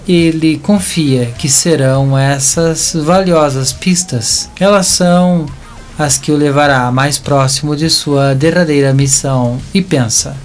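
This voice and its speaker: A man with a Brazilian accent reading scripted narration for an audio drama. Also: on the high side. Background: music.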